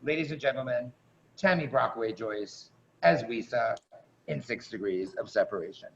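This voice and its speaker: A man's voice: medium pitch, normal speed, vocal fry. A man chattily and informally introducing performers as host of a live online event, with playful emphasis. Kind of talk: casual live introduction on a video call. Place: online video call. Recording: muffled, noisy video-call audio.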